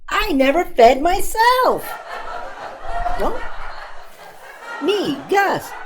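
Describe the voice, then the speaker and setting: A woman voicing a cheeky young male puppet comedian telling exasperated, self-amused stand-up stories with deadpan punchlines and dramatic exaggeration. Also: sits high, slight background noise, low-quality recording. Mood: incredulous, dramatic.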